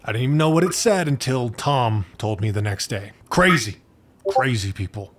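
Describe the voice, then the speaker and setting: Man acting laid-back goofy dude, drawling, hesitant, awkwardly casual, lively pitch, game character. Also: moderate pace, lightly acted. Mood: sheepish, casual.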